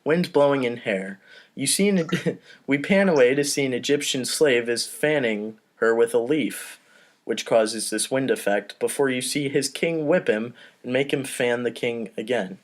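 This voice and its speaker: Mid-pitched man casually reading stage directions. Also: unhurried. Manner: informal, off-the-cuff. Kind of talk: casual read-aloud narration with asides.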